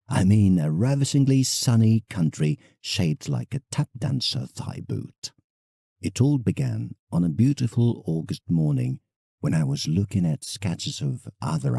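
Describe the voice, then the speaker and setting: A man acts an old painter reminiscing in an audio drama letter. His low voice is husky and swings expressively, full of effusive rapture and thoughtful hesitation. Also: dark tone, no room sound, studio quality, exaggerated voice. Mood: fond, reminiscing. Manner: theatrical, effusive, with hesitant musing pauses. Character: old male painter, reflective, enraptured, writing to a friend.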